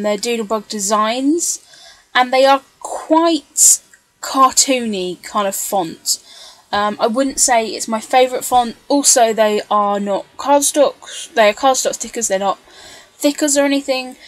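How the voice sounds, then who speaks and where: Female craft vlogger, slightly high voice, casual rambling tutorial narration. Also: low-quality recording. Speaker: scrapbooker narrating craft video.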